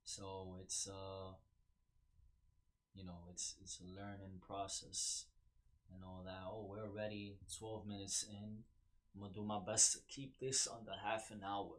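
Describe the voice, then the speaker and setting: A man's voice: sits low, completely flat delivery, unhurried, fairly bright tone. A man with a New York accent hosting a solo podcast, rambling with many fillers and restarts. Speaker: podcast host.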